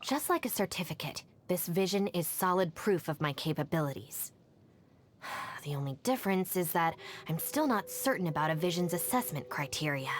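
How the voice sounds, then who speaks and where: A woman's voice: fairly low pitch, natural voice. An actress voicing a capable, no-nonsense young female gang deputy in a video game, matter-of-fact and dryly confident. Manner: matter-of-fact, composed, brisk. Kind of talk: video game character voice lines.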